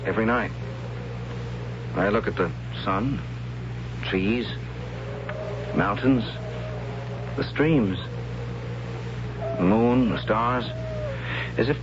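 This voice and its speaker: Low-voiced dreamy young captive man. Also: very slow, pauses often, noisy background. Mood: wondering. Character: gentle dreamy young male captive, thoughtful and questioning. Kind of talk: 1970s radio drama acting. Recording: dull lo-fi 1970s radio broadcast with music.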